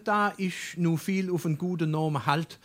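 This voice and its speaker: Actor as a ponderous, highish-voiced Hessian paterfamilias. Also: male voice, normal speed, a bit bright, natural voice. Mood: stern, formal. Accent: Darmstadt Hessian. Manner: ponderous, formal. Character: stuffy, respectable burgher, father of the family. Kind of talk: dialect stage comedy acting.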